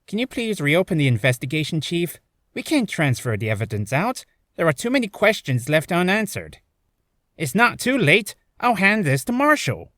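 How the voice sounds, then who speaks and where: A man's voice: on the high side, sing-song, moderate pace, fairly bright tone, dry recording, clean studio sound. A man voicing an earnest, pleading police officer with an urgent, insistent manner, for a character reel.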